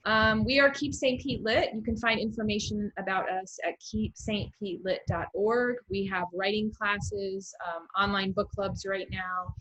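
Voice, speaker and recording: Slow-paced American woman hosting online. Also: medium pitch, fairly even intonation, fairly bright tone, dull audio. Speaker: host of online literary series. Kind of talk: casual, gushing interview chat. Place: video call.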